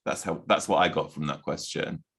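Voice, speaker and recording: Deep-voiced man answering casually. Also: moderate pace, a bit bright, breathy edge. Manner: casual, loose, with fillers like "like". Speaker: project team member on a panel.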